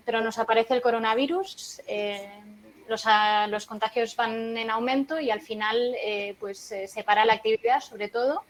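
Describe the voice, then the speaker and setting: A woman moderating a webinar panel, inviting speakers in turn and posing questions in a measured way with hesitant fillers. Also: a little high, slight background noise, low-quality recording.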